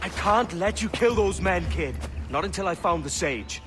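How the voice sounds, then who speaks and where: Lively roguish pirate, music behind. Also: male voice, sits high, moderate pace. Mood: urgent. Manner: casual, coaxing. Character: roguish, persuasive young pirate captain, bold and easygoing. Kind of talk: video game cutscene acting.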